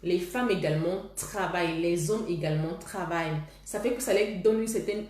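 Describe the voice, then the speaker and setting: A woman's voice: fairly low pitch, normal speed, a little mellow. Woman host, earnest, self-correcting, echoey room. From Cameroon. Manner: earnest, repeats herself and restarts phrases. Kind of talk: casual advice talk to viewers.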